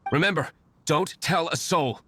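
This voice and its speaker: A man's voice: on the high side, normal speed, a bit bright, slight background noise, lightly acted. A man playing a brooding, aloof young nobleman and secret vigilante in a video game, speaking sternly and formally with curt disdain. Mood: brisk, dismissive.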